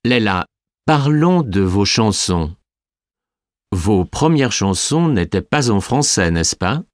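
An actor voicing a male interviewer asking a singer questions, speaking slowly and deliberately in a scripted exam dialogue. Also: lowish voice, big pitch swings, no echo, clean studio sound. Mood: polite.

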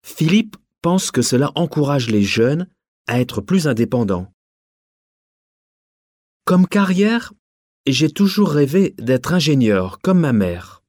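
A man presenting a language listening exam, introducing speakers and reading passages slowly and deliberately. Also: mid-range voice, a little mellow, dry recording, clean studio sound. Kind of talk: scripted exam narration.

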